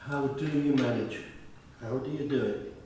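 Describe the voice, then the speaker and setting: A man's voice: medium pitch, normal speed, dark tone, slight background noise, lightly acted. A man acting in a radio play as an earnest, concerned school attendance officer, speaking formally and sympathetically. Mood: concerned questioning. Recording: very echoey, far from the mic.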